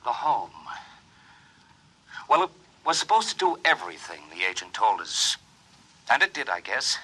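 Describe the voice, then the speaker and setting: Troubled husband in an old radio play, husky but forceful, halting and anxious. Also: male voice, on the low side, big pitch swings, exaggerated voice. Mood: dazed recollection. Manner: halting, dramatic, emotionally strained. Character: troubled married man, a psychiatric patient, anxious and dazed. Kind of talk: 1950s radio drama acting.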